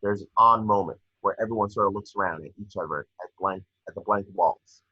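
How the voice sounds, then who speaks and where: Low, husky man reading screenplay directions. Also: brisk, dull audio. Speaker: reader of action descriptions.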